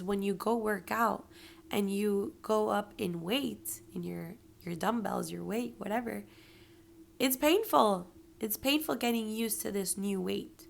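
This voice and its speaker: A woman with a hoarse, raspy voice and a gravelly edge talks earnestly about pain and healing, encouraging the listener. She often drops to a soft whisper to stress a point. A faint hiss sits under the recording. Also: medium pitch. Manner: earnest, motivational, slips into whispers for emphasis. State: hoarse from yelling. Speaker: podcast host. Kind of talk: personal reflective monologue.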